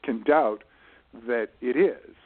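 Man, mid-range voice, thoughtful, explanatory, halting delivery, interview guest, narrow phone-like sound. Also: mid-range voice. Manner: halting, thoughtful, explanatory, with repeated self-corrections. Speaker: guest, writer managing his late father's literary estate. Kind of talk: conversational interview answers. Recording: band-limited radio-style voice recording.

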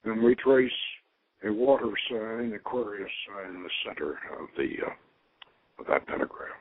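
A man leading a ritual as host, moving between informal instruction and solemn invocation in a halting, deliberate manner. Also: lowish voice, lively intonation, no room sound. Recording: narrow tinny phone-like radio sound.

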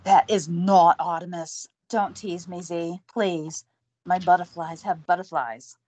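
Woman playing a flustered, excitable young woman. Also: lowish voice, measured pace, a little hiss or hum, close to an everyday voice. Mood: excited. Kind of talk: amateur audio drama acting.

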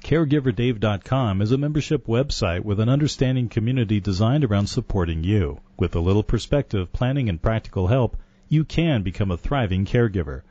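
A man's voice: fairly low pitch, animated, brisk, mellow, dry recording, lo-fi sound. A man reading scripted promos with emphatic, list-like delivery. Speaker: ad announcer.

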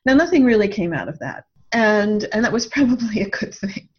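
A woman's voice: mid-range voice, animated, fairly quick, muffled recording. An older woman telling personal stories reflectively as a guest in an interview. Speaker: podcast guest, writer and radio drama producer.

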